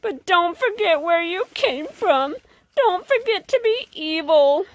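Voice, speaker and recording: A woman's voice: extremely high pitch, expressive, takes it slowly, no echo, heavily acted. Woman putting on a melodramatic villain tomcat. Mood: fatherly. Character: melodramatic villain cat, male, wry and roguish.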